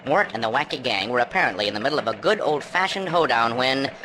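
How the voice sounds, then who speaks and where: Man as melodramatic chicken anchorman, mid-pitched and animated, mock-serious cartoon newscast over music. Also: fast talker, heavy background noise, close to an everyday voice. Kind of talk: parody newscast delivery.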